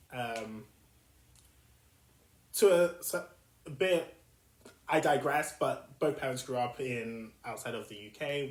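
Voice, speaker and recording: A young man with a South London accent speaks at a medium pitch, thinking aloud as he hosts his own podcast. He pauses often, restarts his sentences and searches for words. The room around him sounds echoey. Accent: South London English. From South London, England. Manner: thoughtful, with frequent fillers and restarts. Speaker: podcast host. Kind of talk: reflective solo podcast monologue.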